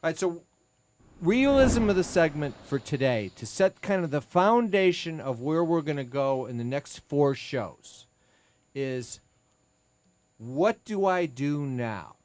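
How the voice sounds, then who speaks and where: Chatty sixty-year-old host, dry studio. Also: male voice, on the high side, very animated, a little slow, a little mellow, no echo. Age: 60s. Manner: chatty, self-mocking, trails off and restarts. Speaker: attorney and host. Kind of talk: casual legal talk radio. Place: radio studio. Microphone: studio mic.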